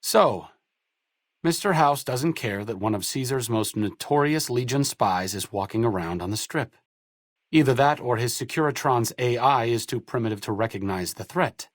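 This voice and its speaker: A male actor plays a sardonic, learned wasteland doctor in a video game. His delivery is articulate and dryly witty, with pitch that moves around a lot. The sound is close and dry, with no room to it. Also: lively intonation, normal speed, dry recording, lightly acted. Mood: guarded, curious. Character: wry, bookish, idealistic male doctor of a post-apocalyptic wasteland. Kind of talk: video game companion dialogue.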